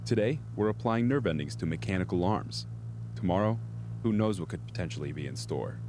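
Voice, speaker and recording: An actor playing a composed senior research scientist in an audio drama, speaking in a measured, formal, polite manner. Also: male voice, deep voice, lively intonation, noisy background. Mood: proud, explanatory. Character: composed senior male research scientist, smoothly justifying dubious experiments.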